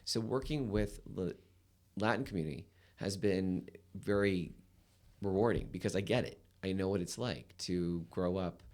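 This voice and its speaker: A man's voice: low-pitched, normal speed, a little mellow. A man in his fifties, a real estate attorney, telling his story in a rambling, casual way with lots of fillers. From United States. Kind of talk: casual interview chat.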